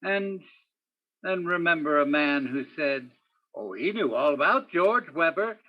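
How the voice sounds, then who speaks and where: A man's voice: a little high, lively intonation, measured pace, highs cut off. A man playing a probing, wry police detective in an old-time radio crime play, with terse, hard-boiled questioning. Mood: brooding narration. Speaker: student or guest stage actor in radio play.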